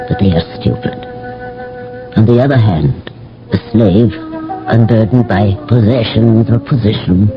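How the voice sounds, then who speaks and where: Formal, philosophical ancient household slave. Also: male voice, on the low side. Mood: musing, proud. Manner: formal, storytelling, dramatic. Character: devoted, philosophical male household slave in antiquity. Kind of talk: radio drama acting. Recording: dull old radio, faint music.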